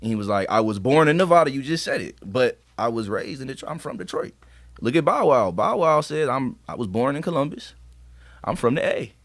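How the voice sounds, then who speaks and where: A man's voice: mid-range voice, lively intonation, brisk, no room sound. An American man co-hosting a podcast, debating sports casually in an emphatic, slangy, opinionated way. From Akron, Ohio, United States. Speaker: podcast co-host.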